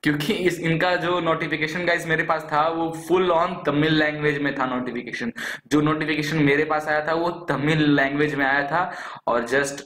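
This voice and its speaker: Casting: Indian male explainer host, higher-pitched voice affected by a cold, chatty and informal, recorded in an echoey room. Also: fairly even intonation, moderate pace, fairly bright tone. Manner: chatty, addresses viewers as friends. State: has a cold, coughing and throat clearing. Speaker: job alert video host. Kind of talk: casual explainer over screen recording.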